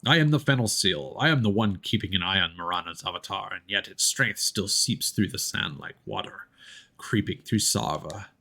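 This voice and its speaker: A man voicing a wise, gentle old forest spirit guardian from a video game, with formal, measured speech. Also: low voice, animated, gravelly, pitched below the performer's own voice, exaggerated voice. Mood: solemn.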